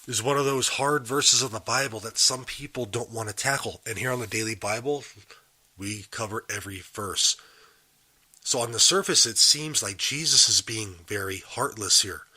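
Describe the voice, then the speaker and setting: A man hosting a daily Bible podcast while getting over a cold, his voice still a little raspy, explaining a passage in earnest and putting questions to his listeners. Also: mid-range voice, sharp tone. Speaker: podcast host. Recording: live and unedited.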